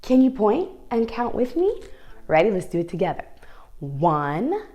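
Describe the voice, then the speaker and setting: Lady doing kids story time ballet class, super animated up and down voice, slow and encouraging, sing-song questions. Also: medium pitch. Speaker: children's ballet teacher. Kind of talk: read-aloud story time and dance lesson for young children.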